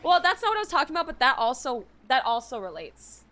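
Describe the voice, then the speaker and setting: A young American woman from Florida chatting casually as she streams a game, bubbly, expressive and rambling. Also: high-pitched, fast. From Florida, United States. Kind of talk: casual reaction chat while gaming. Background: soft game music.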